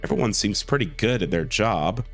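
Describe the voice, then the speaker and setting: A man with a cold talks through a strategy game in a lowish, stuffy voice. He keeps it easygoing and leans on plenty of "uh"s. Faint music plays underneath. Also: normal speed. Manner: easygoing, with many "uh" and "um" fillers. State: sick with a cold. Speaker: let's play host. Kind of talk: gameplay commentary.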